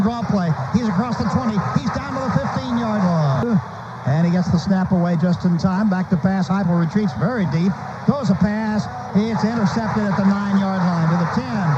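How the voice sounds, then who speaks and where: An American man calling a live football game on the radio in clipped, urgent, staccato phrases. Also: high voice. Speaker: radio play-by-play announcer. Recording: noisy, dull lo-fi radio broadcast.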